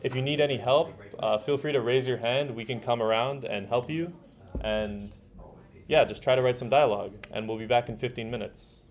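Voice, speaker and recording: A man leading an informal hands-on tutorial, explaining steps in a casual, halting way as he thinks aloud. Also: mid-range voice. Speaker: workshop instructor. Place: indoor workshop room. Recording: noisy room with keyboard typing. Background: keyboard typing, room noise.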